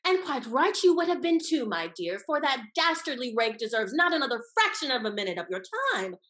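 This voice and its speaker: A woman acts a haughty lady schemer from a period comedy. Her voice sits high and swoops widely. She speaks with arch, theatrical relish, drawing out her emphasis. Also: sing-song, a little fast, a bit bright. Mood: effusive. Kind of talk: period stage comedy acting. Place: indoor room, performing on video call. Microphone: video call.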